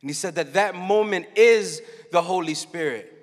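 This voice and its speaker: Animated man preaching on a hall stage. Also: sits high, normal speed. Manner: animated, storytelling, acts out quotes. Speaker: keynote speaker. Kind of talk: youth conference keynote talk. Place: large dark arena stage before a young crowd. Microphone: handheld mic. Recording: long hall reverb.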